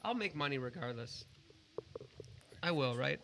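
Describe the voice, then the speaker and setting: A man's voice: mid-range voice, animated, unhurried, creaky, background noise. A man co-hosting a casual podcast, talking earnestly and emphatically with plenty of swearing. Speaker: podcast co-host.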